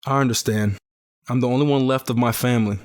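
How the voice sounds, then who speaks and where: A man's voice: medium pitch, steady tone, fast, natural voice. A man playing a grieving, bitter teenage survivor in a post-apocalyptic audio drama, earnest and brooding. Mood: guarded, regretful. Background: music.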